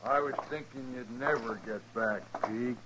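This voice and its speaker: An actor playing a hard, menacing cowhand in a 1950s radio western. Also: male voice, mid-range voice, normal speed, lightly acted. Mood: surly, menacing. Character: menacing, lynch-minded cowhand, hard and surly. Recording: very noisy, dull old radio recording.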